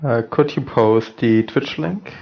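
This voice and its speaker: A man's voice: mid-range voice, slow, creaky, dull audio. A man chatting casually and hesitantly as a guest on a stream call. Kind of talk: casual chat.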